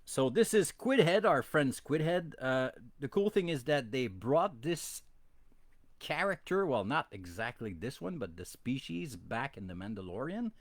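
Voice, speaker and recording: A man's voice: medium pitch, big pitch swings, unhurried, dry recording. Podcast host, male, Quebec French accent, French native speaker; rambling, self-deprecating live chat, close dry sound. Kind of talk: casual bilingual live-stream chat.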